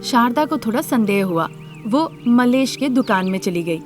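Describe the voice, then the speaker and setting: A woman narrates a scripted tale. Her voice sits fairly high. Music is clearly audible underneath her narration. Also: lively intonation, normal speed.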